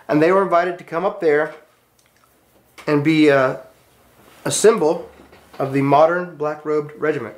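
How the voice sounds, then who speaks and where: An American man teaching a class, giving a storytelling lecture in an earnest, emphatic manner with short declarative sentences. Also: fairly high pitch.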